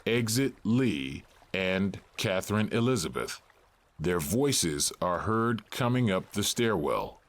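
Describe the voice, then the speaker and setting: A man's voice: deep voice, animated, slow pace. A man narrating stage directions for a scripted audio drama.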